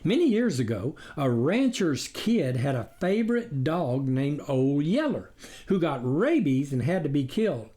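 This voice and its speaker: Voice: mid-range voice, very animated, measured pace, mellow. Seseorang menyuarakan serigala licik bermulut manis, intonasinya sangat hidup. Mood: persuasive. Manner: persuasive, preachy, indignant sweet-talk. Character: sly, smooth-talking wolf-like stranger posing as vegan.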